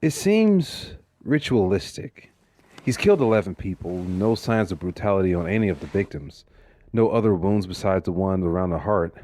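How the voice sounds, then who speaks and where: A man's voice: low-pitched, animated, normal speed, dark tone, no echo. An actor playing a driven, weary police detective and family man in a suspense audio drama, earnest in manner. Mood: measured, firm.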